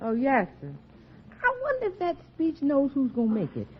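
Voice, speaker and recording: A muffled vintage radio recording carries a teasing, outspoken maid. Her voice is high and lively, with a folksy, mock-deferential comic delivery in 1940s style. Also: normal speed, some chatter behind. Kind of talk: 1940s radio comedy acting.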